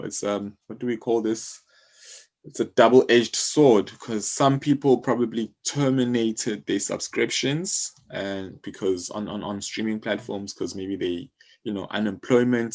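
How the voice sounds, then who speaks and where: A man with a South African accent talking as an interview guest, explaining things in a casual, conversational way with everyday examples. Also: fairly low pitch. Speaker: interview guest, music publishing company co-founder.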